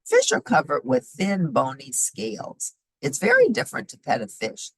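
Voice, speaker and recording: A woman reading a children's nonfiction book aloud in an expressive, emphatic, teacherly way, pausing for short explanatory asides. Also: low-pitched, breathy edge, no room sound. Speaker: read-aloud host.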